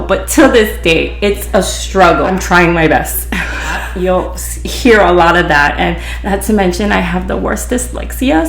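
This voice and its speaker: A woman's voice: mid-range voice, creaky, roomy sound. A woman from Hawaii with a Pidgin-influenced accent, hosting a podcast and telling a story in a chatty, rambling way full of restarts and "you know". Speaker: podcast host, beautician. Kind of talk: casual storytelling.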